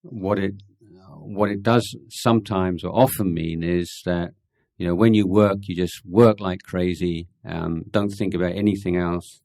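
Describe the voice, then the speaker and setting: A man's voice: low-pitched, steady tone, measured pace, darkish tone, no echo, clean studio sound. A middle-aged man, a Buddhist monk, answering questions from young people at a meditation retreat in a frank, hesitant way, with many ums and restarts.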